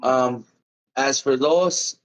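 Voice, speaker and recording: A man's voice: medium pitch, very slow, low-quality recording. A musician guest in a casual interview, speaking in loose run-on sentences and calling the host "man" and "bro".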